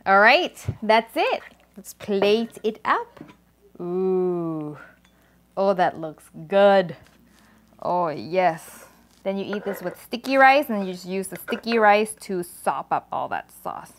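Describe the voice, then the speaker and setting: A woman in her thirties from Thailand hosts a cooking show, chatting warmly to viewers as she pounds a salad in a home kitchen. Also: mid-range voice, animated, slow. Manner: chatty and upbeat, talks to viewers while pounding in a mortar. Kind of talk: cooking demonstration.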